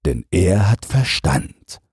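A man's voice: sits low, big pitch swings, takes it slowly, darkish tone, breathy edge, no echo, clean studio sound, exaggerated voice. A man voicing a vain, self-important emperor musing to himself in a fairy tale.

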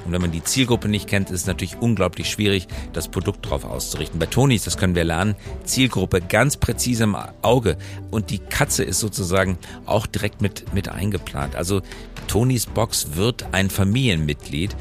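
Low-pitched man hosting a podcast. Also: lively intonation, normal speed, over music. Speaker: podcast host. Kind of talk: conversational podcast commentary.

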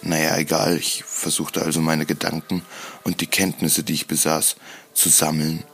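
A man reading scripted, dramatic first-person narration in a brooding, solemn and deliberate manner. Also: a bass voice, flat delivery, creaky, a bit bright, faint music behind.

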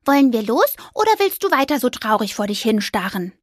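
A chirpy, bossy little mouse from a storybook, as a woman puts her on, with a high voice that leaps all over the place. She urges her friends along in a bright, bouncy tone, recorded close and dry. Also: sing-song, a little fast, no echo. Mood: eager. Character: small lively female mouse. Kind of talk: storybook character acting.

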